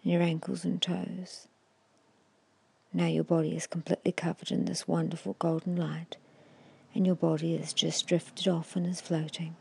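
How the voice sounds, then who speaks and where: A woman giving an ASMR guided hypnosis in a hushed, breathy whisper, slowly and soothingly instructing with long pauses. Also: lowish voice, flat delivery. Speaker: hypnotist guiding a meditation.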